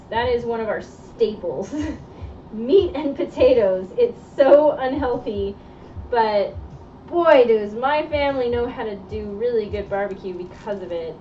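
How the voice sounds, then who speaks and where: A young woman with a fairly high voice talks casually while she works at a sewing table, chatty and opinionated, laughing now and then. She sits back from the mic, so her voice sounds distant and dull with a steady hiss behind it. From Argentine heritage. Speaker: streamer. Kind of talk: casual chat while sewing on a live stream. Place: indoor sewing workroom.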